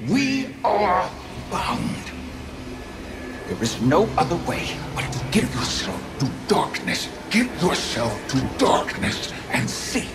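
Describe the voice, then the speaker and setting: An actor voicing a ghostly, imprisoned dissenter spirit in a video game, speaking in breathy whispers and solemn, cryptic pronouncements. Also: male voice, a little high, exaggerated voice.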